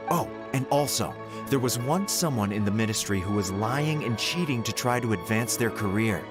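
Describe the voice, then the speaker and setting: A man voicing an earnest young male errand runner in a video game, reporting in a lively way. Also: medium pitch, close to an everyday voice. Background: game music.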